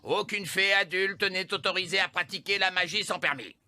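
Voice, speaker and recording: A man voicing a stern old wise elf in a children's cartoon, raspy and pedantic, lecturing like an exacting official. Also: high voice, moderate pace, bright, forceful tone. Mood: stern, officious. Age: older. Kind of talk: animated children's cartoon dub.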